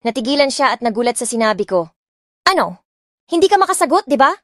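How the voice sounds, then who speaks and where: Expressive woman reading a love story. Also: fairly high pitch, fast talker, no room sound. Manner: expressive, mixes English into Tagalog. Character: spoiled rich young woman, bratty and defiant. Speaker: audiobook narrator. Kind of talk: dramatic first-person story reading. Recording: dry close mic with soft music.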